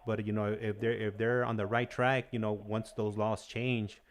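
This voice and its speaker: A man hosting a live call-in show in a casual, halting, agreeable way, often repeating himself. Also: on the low side, flat delivery. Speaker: host of a livestream call-in show.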